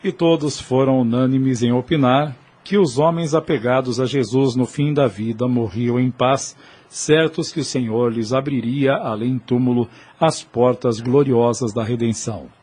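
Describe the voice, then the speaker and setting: A man narrates an audio drama in a solemn, literary way. His mid-pitched voice has a husky grain and follows a script. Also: a little slow, darkish tone.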